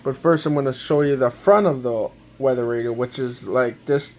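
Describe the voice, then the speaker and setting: A man gives a casual, halting, step-by-step product walkthrough, often repeating himself. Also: medium pitch, darkish tone. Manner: halting, repetitive, step-by-step explaining. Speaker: amateur gadget demonstrator.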